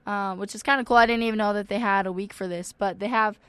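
A woman's voice: medium pitch, steady tone, fast, clear, resonant tone, dry recording. A young woman hosting a campus radio show, chatty and full of fillers, her voice worn and cracking now and then. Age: young adult. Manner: chatty, many ums and likes. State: losing her voice, occasional cracks. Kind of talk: casual radio announcements.

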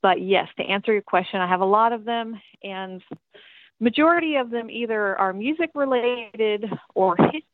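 A woman's voice: a little high, normal speed, dry recording. A chatty woman from Tennessee talks loosely through interview answers, full of ums and asides. Her voice comes over a tinny, phone-like line, dry with no room sound. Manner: chatty, rambling, many ums, occasional swearing. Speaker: metal band singer, interview guest.

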